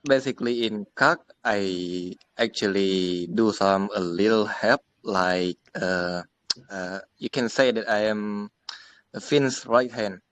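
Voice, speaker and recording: A man with an Indonesian accent casually introducing himself, speaking in a halting way. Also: lowish voice, a bit bright, no echo. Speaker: tech company CEO, project helper.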